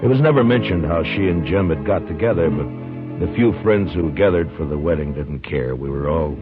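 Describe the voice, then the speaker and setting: Brief: calm, authoritative lawman narrator, low voice, muffled old radio. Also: a little fast. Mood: narrating. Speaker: lead actor and narrator. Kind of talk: 1950s radio drama acting. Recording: dull 1950s radio recording with music.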